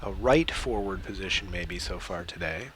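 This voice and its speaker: Hoarse man calling soccer outdoors. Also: low-pitched, normal speed, a little hiss or hum. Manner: relaxed, steady, chatty, with small restarts and throat clearing. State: hoarse from a cold. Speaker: play-by-play commentator. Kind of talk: live sports commentary.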